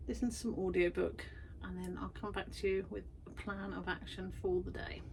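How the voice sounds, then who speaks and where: A woman's voice: mid-range voice, level tone, a little fast, darkish tone, rough recording. Woman, chatty, rambling, tired, garden shed, faint background noise. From United Kingdom. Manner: chatty, self-deprecating, rambling with fillers. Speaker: vlogger and art workshop tutor. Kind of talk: casual chatty vlog. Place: her garden shed art studio.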